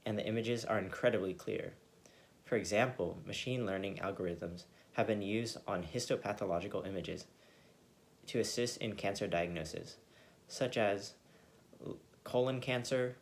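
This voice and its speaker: A teenage boy giving a steady, scripted student project presentation from a room at home over a laptop mic. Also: lowish voice.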